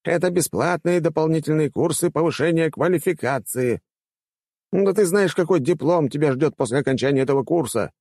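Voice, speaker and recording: A man's voice: a little high, animated, fairly quick, darkish tone, dry recording, studio quality, voice pushed up. A man voicing a pompous, exclamatory elderly male academy rector in a fantasy audiobook. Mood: blustering, persuasive.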